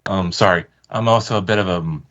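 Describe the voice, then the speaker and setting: A man's voice: low-pitched, brisk, a bit bright. A man chatting casually as a guest performer, informal and self-deprecating. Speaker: guest voice performer and graphic artist. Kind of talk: casual chat. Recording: dull, muffled call audio.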